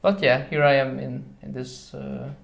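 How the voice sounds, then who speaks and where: A man's voice: medium pitch, fairly even intonation, normal speed, creaky. A man from Hungary with a Hungarian accent, chatting casually as an interview guest in a somewhat hesitant way. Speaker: podcast guest.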